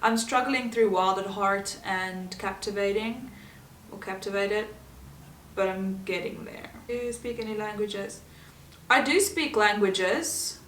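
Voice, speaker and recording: A woman's voice: mid-range voice, unhurried, fairly bright tone. A giggly woman from South Africa with a South African accent chats casually about herself. Her first language is Afrikaans. She sits a little back from the mic in a roomy, echoing space, with a faint hiss behind her. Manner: chatty and giggly, laughing often. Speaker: vlogger. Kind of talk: casual get-to-know-me chat. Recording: roomy echo, voice from a distance.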